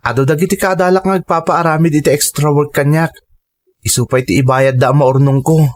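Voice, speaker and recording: Man acting an earnest young student son, higher-voiced. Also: steady tone, brisk, close to an everyday voice. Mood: reassuring. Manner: earnest, respectful. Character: dutiful, earnest young male student, self-sacrificing son. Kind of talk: audio drama acting.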